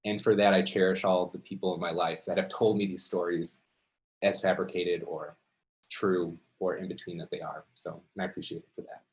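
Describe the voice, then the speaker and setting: A man telling an unscripted personal story to an audience in a halting, conversational, reflective way. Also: deep voice, little pitch movement. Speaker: amateur storyteller at a story slam.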